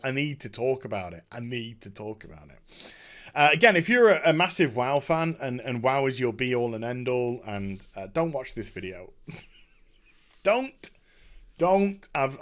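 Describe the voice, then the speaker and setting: A man talking casually and off the cuff as a video host, emphatic and excitable, often halting and repeating his words. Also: medium pitch. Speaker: video host giving a game story discussion. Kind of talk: unscripted casual rambling commentary.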